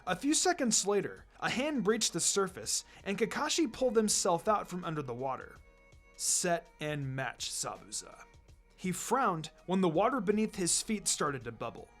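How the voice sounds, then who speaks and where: A man narrates an action story with strongly varied, animated intonation. His voice sits a little high for a man. The reading is dramatic and scripted, with music underneath. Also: moderate pace, hardly any pauses. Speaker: narrator, fan fiction reader.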